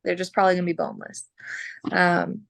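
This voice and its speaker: Gravelly woman losing her voice. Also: fairly low pitch, sing-song, a little fast, dry recording. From Oklahoma. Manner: frank, talkative, throat clearing. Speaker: butcher and mobile processor owner. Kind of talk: podcast interview guest.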